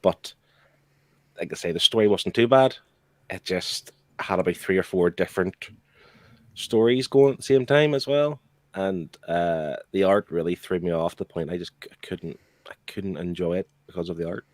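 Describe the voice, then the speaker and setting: Male panelist, deep register, dry sound, informal and blunt opinionated talk. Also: normal speed, a little mellow, no room sound. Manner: blunt, conversational. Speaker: comic book show panelist. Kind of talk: casual opinionated chat.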